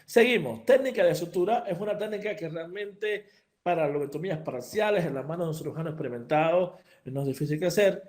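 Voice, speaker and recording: A middle-aged man from Cuba giving a surgery lecture to colleagues, his voice sitting a little high for a man. He explains each step in a practical, instructive way. He often restarts a sentence and slips in English terms. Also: brisk, darkish tone.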